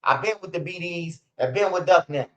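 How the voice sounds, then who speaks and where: A man in his forties talks in a slightly high voice, rambling through street stories on a livestream. He is blunt and profane and keeps dropping "bro" and "man." The sound is dull and lo-fi. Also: brisk, fairly bright tone. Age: 40s. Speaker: host of a street news channel. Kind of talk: casual livestream storytelling.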